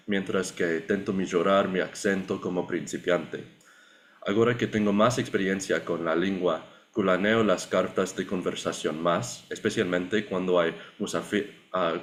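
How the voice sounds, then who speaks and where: Deep-voiced young man presenting carefully. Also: slight background noise. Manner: careful learner's delivery, occasional self-corrections. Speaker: graduate student and project participant. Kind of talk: prepared presentation read aloud.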